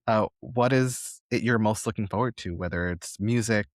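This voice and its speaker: A man's voice: fairly low pitch, normal speed, no echo, clean studio sound. A man hosting a conversational interview, speaking in an encouraging way and offering generous praise to his guest. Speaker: podcast host interviewing a guest.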